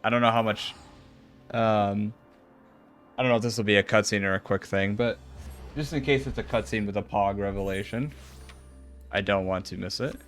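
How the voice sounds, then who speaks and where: A man's voice: fairly low pitch, fairly even intonation, normal speed, pauses often, faint music behind. A man doing a game playthrough, reading dialogue aloud and adding casual, joking asides. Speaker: video game streamer.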